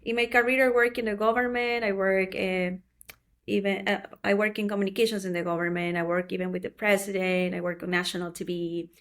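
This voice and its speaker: A woman's voice: medium pitch, level tone, brisk, fairly bright tone. A woman from Ecuador, a company co-founder talking earnestly as a guest in a casual interview, stretching some words as she speaks.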